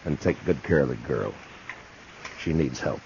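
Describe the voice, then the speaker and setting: A stern Old West lawman in a 1950s radio drama. He has a very low voice and talks tersely and steadily. The sound is dull, with faint noise behind it. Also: lively intonation, measured pace, creaky, exaggerated voice. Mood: grim, resolute. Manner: terse, plain-spoken. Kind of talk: 1950s radio western acting. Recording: muffled, faintly noisy 1950s radio recording.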